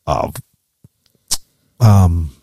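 A man's voice: low-pitched, very slow, warm tone, no room sound. Blokey Australian man, close dry sound. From Queensland, Australia. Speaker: radio host and pastor. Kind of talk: casual radio banter and discussion. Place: radio studio.

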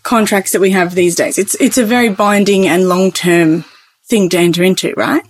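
A woman's voice: medium pitch, steady tone, moderate pace. A woman co-hosting a casual radio discussion, speaking in a chatty, opinionated way. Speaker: radio co-host.

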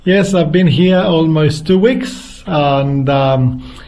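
A man's voice: on the high side, a little mellow. Earnest Chilean-accented man in his fifties. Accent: Chilean Spanish. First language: Spanish. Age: 50s. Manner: earnest, unhurried, frequent restarts. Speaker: guest evangelist. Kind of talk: unscripted interview answers.

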